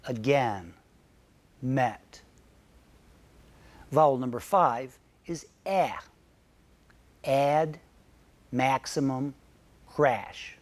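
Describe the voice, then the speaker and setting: A man from Michigan with a Midwestern American accent, narrating a scripted pronunciation lesson and saying example words slowly and clearly. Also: medium pitch, darkish tone. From Michigan, United States. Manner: slow, careful, clearly enunciated word examples. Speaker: narrator and English pronunciation teacher.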